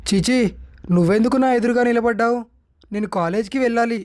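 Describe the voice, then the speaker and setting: A man plays a scornful young son in a cartoon moral tale. His voice sits high for a male and comes across dry and close. Every phrase is resentful and cutting, pushed toward melodrama. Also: fast, a little mellow, dry recording. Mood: disgusted, angry. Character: bitter, scornful college-age son rejecting his mother. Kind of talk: melodramatic Telugu moral-story cartoon voice acting.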